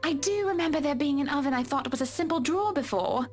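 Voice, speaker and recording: A poised rich wife, high-pitched and refined, with an assured, businesslike manner. Quiet game music sits under her voice. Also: animated, fast. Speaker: wealthy client.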